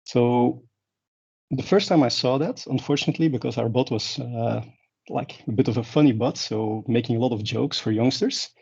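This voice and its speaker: A man's voice: medium pitch, moderate pace, creaky, a little mellow, slightly rough. A man from Belgium, giving a conference talk in a rambling way with many fillers and restarts. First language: Dutch. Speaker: conference speaker. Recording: dull lo-fi sound.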